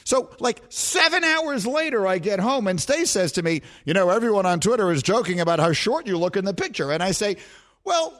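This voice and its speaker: Middle-aged guy hosting sports talk radio, highish voice, bounces all over the place telling stories. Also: fairly quick. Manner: animated storytelling, laughs at himself. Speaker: sports talk show host.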